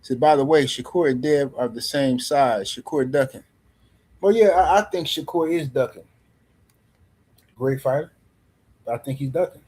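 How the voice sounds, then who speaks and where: A man's voice: mid-range voice, normal speed, pauses often, darkish tone, breathy edge. A man hosting a boxing livestream in casual commentary, loose and teasing, rambling and repeating words as he reads chat messages. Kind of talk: casual live-stream commentary.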